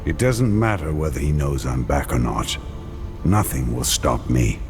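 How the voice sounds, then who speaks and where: An actor playing a grim, battle-hardened warrior in a fantasy game, terse and resolute, with a gravelly voice. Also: male voice, rumbling low, unhurried. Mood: defiant. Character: grim, battle-hardened male warrior bent on defeating his brother. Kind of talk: dramatic fantasy game acting. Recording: long hall reverb, loud music behind.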